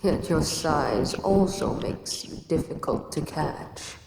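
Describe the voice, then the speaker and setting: Cast: a woman as a calm, imposing kaiju queen, lordly and unhurried, lowish voice with long hall reverb. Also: gravelly, heavily acted. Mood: amused. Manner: slow, measured, lordly speech. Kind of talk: audio roleplay. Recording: echoey reverb with ambient noise.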